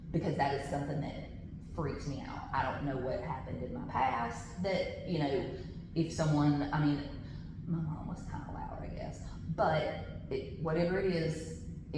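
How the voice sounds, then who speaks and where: A woman with a loud voice leading a classroom training, chatty, with personal asides and frequent restarts. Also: low voice. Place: large training room with tables. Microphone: camera on the table. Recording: echoey room, background noise.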